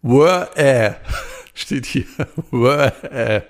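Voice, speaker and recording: A man's voice: mid-range voice, lively intonation, extremely slow. A man co-hosting a casual podcast, playful and laughing at his own attempts at dialect words. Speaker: podcast co-host.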